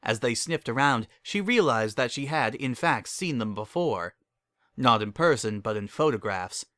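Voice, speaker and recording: A man narrates a scripted story in a dramatic style. His voice is bright and highly animated, recorded dry without room echo. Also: normal speed.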